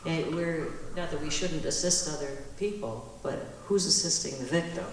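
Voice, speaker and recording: A woman's voice: low-pitched, moderate pace. A woman talking informally, putting a question in a tentative, musing way. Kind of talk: informal question from personal experience. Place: echoey meetinghouse hall. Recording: echoey hall, distant mic, faint noise.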